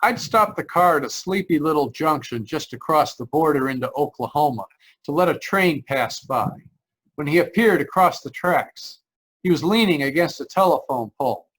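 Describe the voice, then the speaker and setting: A man's voice: fairly high pitch, lively intonation, normal speed, fairly bright tone, husky, rough recording. An actor playing a haunted, anxious driver narrating his road trip in old-time radio style, tense and earnest. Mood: brooding, uneasy. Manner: tense, earnest, dramatic storytelling. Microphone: video call. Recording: live video-call performance.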